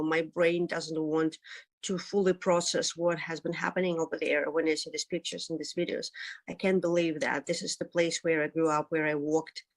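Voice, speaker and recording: A woman from Ukraine talks on a video call, her voice low and fairly flat. She is earnest and speaks in halting phrases, stopping often to find the next word. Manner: earnest, searching for words with many fillers. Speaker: interview guest, concert organizer. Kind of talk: remote news interview.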